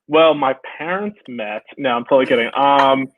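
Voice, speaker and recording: A man's voice: mid-range voice, a little slow, dry recording. A young man from Brooklyn, chatting as a guest on a video call and laughing often as he tells stories. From Brooklyn, New York. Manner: laughs often, animated storytelling. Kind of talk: casual video-call interview. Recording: tinny phone-like dry sound.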